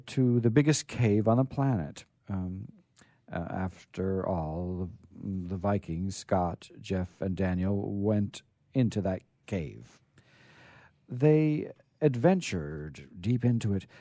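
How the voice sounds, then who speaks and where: Male radio host, storyteller, lowish pitch, very animated intonation, halting, theatrical, close dry sound. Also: no echo. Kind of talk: informal radio storytelling, reading aloud.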